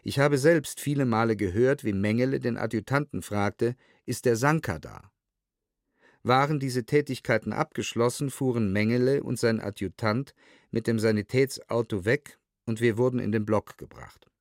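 A man reading a scripted documentary audiobook in a sober, measured way, close to a studio microphone. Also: mid-range voice, no echo. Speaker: reader of historical testimony.

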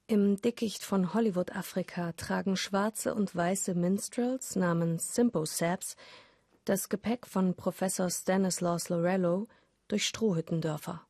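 A woman's voice: fairly low pitch, unhurried, mellow, no room sound, studio quality. A woman narrating a scripted radio play as a storyteller.

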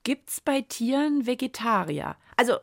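A woman chats on a children's radio show. She thinks out loud informally, close on a dry studio microphone. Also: a little high, lively intonation, a little slow, gravelly, no room sound. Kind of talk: casual chat co-hosting a children's radio show.

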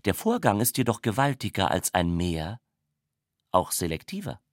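A reader giving a measured, solemn rendition of literary prose as scripted narration. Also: low-pitched, big pitch swings, no echo, clean studio sound.

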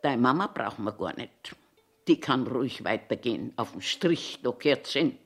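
A woman's voice: extremely low pitch, lively intonation, moderate pace, vocal fry, faint music behind, full character voice. An actress in her eighties playing a cranky, eccentric old toilet attendant in a theatrical monologue, querulously talking to herself in a Bavarian accent. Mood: muttering, prim. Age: 80s. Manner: self-talking, querulous, emphatic. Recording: echoey room sound.

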